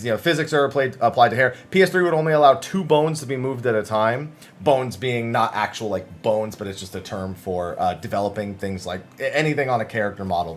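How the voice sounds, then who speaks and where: A man hosting a gaming news video, talking through written interviews in a chatty, conversational way with casual asides. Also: medium pitch.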